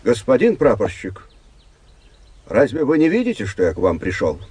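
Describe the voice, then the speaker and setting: An actor playing an older, stiffly official yet kindly staff captain with a husky voice in a radio drama. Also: male voice, medium pitch, huge pitch swings, normal speed, a little mellow, slight background noise. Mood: stern, official. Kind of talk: Russian radio drama acting.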